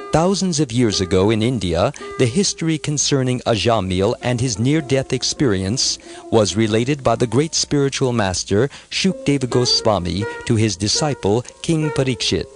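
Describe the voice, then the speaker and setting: A man reading scripted narration as an audiobook narrator. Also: medium pitch. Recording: music behind the voice. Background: music.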